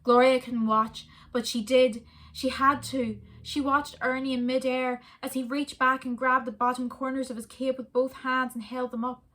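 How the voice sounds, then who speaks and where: An Irish woman reads a children's story aloud, steady and expressive. Her voice sits a little high for a woman, and she keeps a clear storytelling pace. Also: normal speed, hardly any pauses. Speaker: volunteer reader. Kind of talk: storybook reading.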